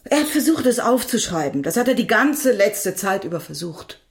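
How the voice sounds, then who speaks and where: A woman acting in a radio drama, playing a proud, weary widow with a sharp tongue and pointed delivery. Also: medium pitch, very animated, moderate pace. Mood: bitter, weary.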